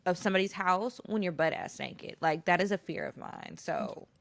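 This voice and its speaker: A woman's voice: lowish voice, lively intonation, moderate pace, creaky, no room sound. Candid woman podcaster, close dry sound. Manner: candid, slangy. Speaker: podcast co-host. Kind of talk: casual chat.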